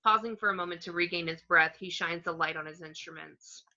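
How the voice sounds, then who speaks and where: A woman reading screenplay action descriptions as narration, in a plain, measured way. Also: fairly low pitch, bright, forceful tone. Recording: slightly dull home microphone.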